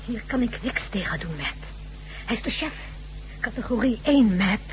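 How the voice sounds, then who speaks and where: A woman's voice: fairly high pitch, animated, normal speed, natural voice, low-quality recording. An actress playing the hero's anxious female companion in a radio drama. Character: Valerie, anxious young female companion of the hero. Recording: old radio drama with faint hum.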